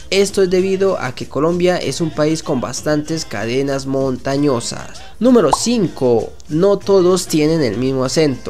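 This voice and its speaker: A man's voice: a little high, darkish tone. A man narrating a scripted numbered list video as its host, lifting his voice at each new item. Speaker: channel host. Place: voice-over over stock footage.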